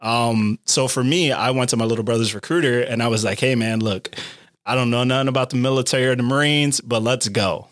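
A man's voice: mid-range voice, fairly even intonation, fairly quick, fairly bright tone, no echo, studio quality. A man in his thirties, a podcast co-host and former Marine, talking casually and laughing often. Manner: laid-back, laughs often, motivational asides. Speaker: podcast co-host, Guard soldier.

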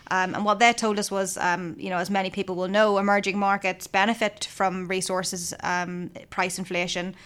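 A woman in a relaxed business conversation about fund investments, speaking in a measured way with frequent ums. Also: medium pitch. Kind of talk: casual business discussion.

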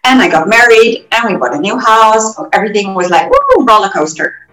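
A woman from the Netherlands, a guest telling her life story in an animated, self-correcting way. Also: mid-range voice, a little fast. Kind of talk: casual interview storytelling. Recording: roomy echo, faint music.

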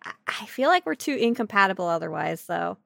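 A woman co-hosting a podcast with casual, silly banter, talking in an animated, jokey and exclamatory way. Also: mid-range voice, fairly quick, gravelly, no room sound, studio quality. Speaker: podcast co-host. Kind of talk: casual, silly banter about an online game.

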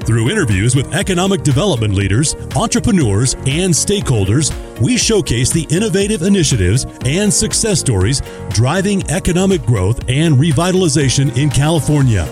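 Man, announcer, fairly high voice, very lively intonation, clear music underneath, polished delivery. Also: fairly quick. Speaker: show announcer. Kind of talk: scripted podcast intro.

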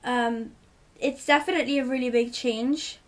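A woman's voice: high voice, steady tone, normal speed, recorded from a distance. A teenage girl raised in Italy, chatting casually as a guest and giving rambling, giggly advice. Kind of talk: casual chat.

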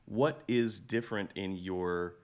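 A man's voice: deep voice, slow, darkish tone. A man hosting a conversational interview, thoughtful and reflective, repeating and rephrasing as he goes. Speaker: podcast host, interviewer.

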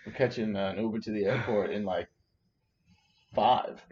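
A man's voice: fairly low pitch, moderate pace, darkish tone. A young man co-hosting a podcast, easygoing and agreeable, often affirming his partner. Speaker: podcast co-host. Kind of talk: casual chat. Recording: dull lo-fi podcast audio.

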